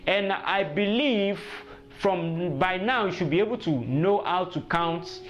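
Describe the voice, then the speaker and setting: A man's voice: high voice, unhurried, fairly bright tone. A Nigerian man teaching a language lesson informally, encouraging the learner and prompting with questions. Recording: dull lo-fi audio with music behind.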